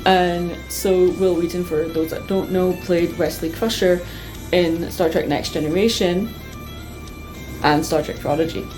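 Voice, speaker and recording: A woman telling personal stories to her viewers in a casual, chatty, expressive way, like an enthusiastic fan. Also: fairly low pitch, unhurried, music in the background, low-quality recording. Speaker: vlogger.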